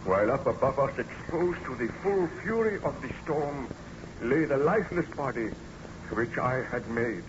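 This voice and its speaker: A man's voice: medium pitch, expressive, moderate pace, natural voice. Man playing an obsessed baron scientist, wildly swooping voice. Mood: tense narrating. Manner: theatrical, declamatory. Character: obsessed, weary male baron scientist, feverish and defiant. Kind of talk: 1930s-40s radio serial acting. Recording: muffled, noisy old radio recording.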